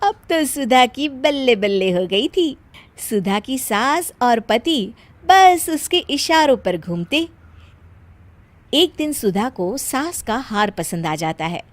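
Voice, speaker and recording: A woman's voice: fairly high pitch, very animated, takes it slowly, dry recording. A woman narrator reading a scripted story for a cartoon.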